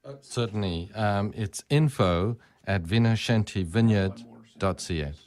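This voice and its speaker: Deep-voiced man as courteous radio guest. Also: measured pace, darkish tone. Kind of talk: polite conversational radio talk.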